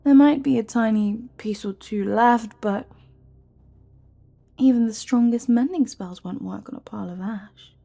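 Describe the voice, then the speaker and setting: Woman doing an evil fantasy advisor sorceress, slow smug taunting, low-lit mellow tone, breathy n whispery, very theatrical. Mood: condescending, threatening. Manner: theatrical, mock-courteous, taunting, drawn-out phrasing. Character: scheming evil royal advisor, silky, smug, menacing sorceress. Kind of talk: dramatic fantasy audio roleplay.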